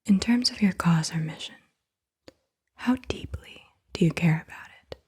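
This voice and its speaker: A woman whispers in an extremely breathy, airy voice, pitched a little low. She delivers questions gently and without hurry, as an ASMR host does, with keyboard typing behind her. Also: normal speed. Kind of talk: soft-spoken ASMR interview questions. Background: computer keyboard typing.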